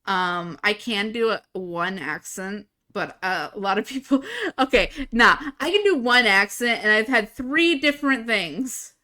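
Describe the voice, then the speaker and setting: A young woman streaming live, chatting casually with a flat, monotone delivery and frequent swearing. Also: medium pitch, a little slow. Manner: self-described monotone, swears freely, casual.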